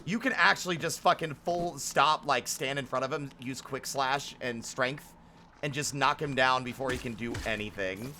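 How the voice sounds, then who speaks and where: A man doing casual, animated live game commentary, reacting freely and swearing as he talks to viewers. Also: medium pitch, moderate pace, a little hiss or hum. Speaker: game streamer.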